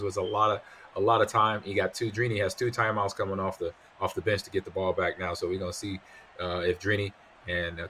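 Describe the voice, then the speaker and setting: A middle-aged man giving steady, somewhat rambling analysis as color commentator on a football video game tournament. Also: on the low side. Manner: steady, explanatory, a bit rambling. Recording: faint background noise.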